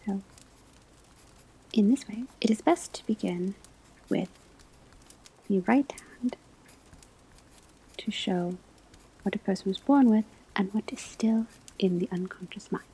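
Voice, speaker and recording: Woman acting as witch academy teacher, breathy whisper, soft mellow tone, formal, patient, precise, ASMR roleplay lecture. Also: medium pitch, slow, pauses often, heavily acted, rough recording. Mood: calm, instructive. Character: patient, formal witch-school palmistry professor.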